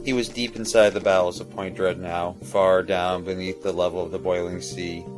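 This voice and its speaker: A man's voice: low-pitched, little pitch movement, moderate pace. A man reading scripted, dramatic fantasy narration for an audio drama. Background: music.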